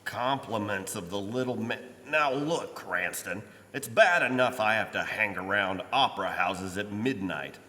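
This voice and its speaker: A man playing a weary, exasperated police commissioner in gruff 1940s radio drama style. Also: fairly low pitch, lively intonation, a little slow, hardly any pauses, bright, forceful tone. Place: church, live stage performance. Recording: live in echoey hall.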